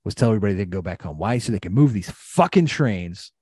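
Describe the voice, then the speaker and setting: A man's voice: on the low side, lively intonation, fast talker, darkish tone, breathy edge, no echo, clean studio sound. A middle-aged American man giving an impassioned personal account, blunt and emphatic, repeating phrases and swearing. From Ohio, United States.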